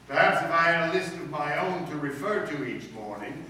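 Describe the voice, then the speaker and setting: A man playing a pompous high school principal who feigns humble meekness in florid speech, in old-time radio comedy style. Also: lively intonation, fairly quick, pushed and bright. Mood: ingratiating. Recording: echoey room, distant mic, faint noise.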